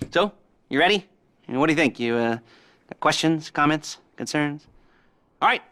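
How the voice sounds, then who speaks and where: A sly middle-aged heist boss in a game cutscene, giggling through his pauses and dropping leering innuendo. His voice is bright and jumps around in pitch. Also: animated. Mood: scheming. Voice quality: giggling, rambling with many pauses. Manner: creepy innuendo, teasing. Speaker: heist mission giver. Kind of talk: video game cutscene dialogue.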